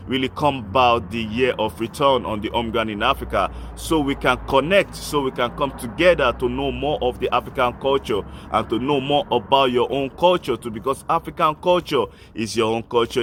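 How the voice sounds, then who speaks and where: Man, earnest direct appeal, mid-pitched voice, faint traffic noise behind. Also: fairly bright tone. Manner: earnest, appealing directly to listeners, repetitive listing. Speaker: host of an online channel. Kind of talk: impassioned speech to camera.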